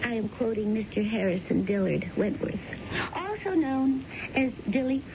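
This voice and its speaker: A woman's voice: fairly high pitch, animated, moderate pace, gravelly. An actress playing a vivacious young woman scheming in a radio drama, with a teasing, breezy, questioning manner. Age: young adult. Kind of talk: 1970s radio drama acting. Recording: dull, noisy old radio recording.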